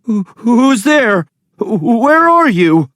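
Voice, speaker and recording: Man acting a kind, earnest runaway slave, very animated. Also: extremely high pitch, very slow, no echo, voice pushed up, exaggerated voice. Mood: frightened. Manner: exclamatory, earnest. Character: Androcles, kind runaway slave, shaky but helpful. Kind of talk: readers theatre for children.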